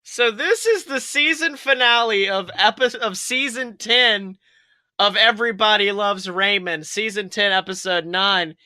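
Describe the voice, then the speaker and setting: Bright, rambling male podcast co-host. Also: high-pitched, measured pace, no echo. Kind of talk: casual comedic banter.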